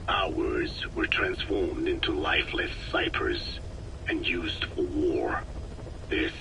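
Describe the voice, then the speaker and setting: An old, solemn Navajo researcher; extremely deep, slow delivery; hissy cassette sound. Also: male voice, heavily acted. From Navajo Nation, American Southwest. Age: older. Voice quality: low, slow, measured and solemn, often breathy. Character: very old male Navajo scientist, grave. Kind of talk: game cassette tape dialogue. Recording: noisy tape hiss.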